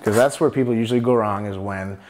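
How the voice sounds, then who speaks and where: A man's voice: fairly low pitch, darkish tone, slight background noise. A man in his thirties hosting a casual cooking demonstration in a home kitchen, explaining nutrition as he works. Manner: quick, explanatory, lots of fillers and restarts. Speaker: nutrition chef host.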